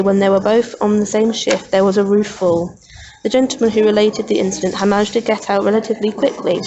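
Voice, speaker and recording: A woman's voice: medium pitch, a little mellow. Woman on a dull, lo-fi recording. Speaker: student planning a radio drama. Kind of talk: reading research aloud, then casual discussion. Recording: dull lo-fi recording, faint noise.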